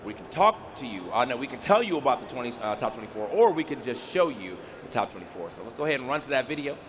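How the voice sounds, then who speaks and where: A man's voice: mid-range voice, very animated, fast, noisy background. A man hosting a live fighting-game tournament, chatty and upbeat, with fillers. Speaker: tournament host.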